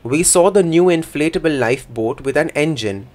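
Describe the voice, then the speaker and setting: A man voicing a first-person interpretation for a signing speaker in a plain, measured way. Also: a little high, lively intonation, a little mellow.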